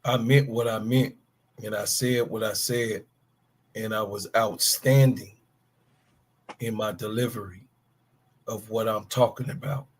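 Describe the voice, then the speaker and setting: A man's voice: lowish voice, fairly even intonation, unhurried, long pauses. Fiery, profane man in his fifties. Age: 50s. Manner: profane, emphatic, calls out players by name. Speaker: podcast co-host. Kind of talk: heated sports talk rant.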